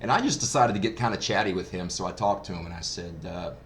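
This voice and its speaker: Low male voice, casual review vlog, chatty and enthusiastic storytelling, clear background noise. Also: fast talker. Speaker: hobbyist product reviewer. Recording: clearly noisy background ambience.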